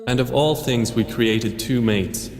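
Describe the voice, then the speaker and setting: A man reading a scripture translation in a slow, solemn, even voice. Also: medium pitch, soft background music. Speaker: translation reader. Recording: echoey, long hall reverb.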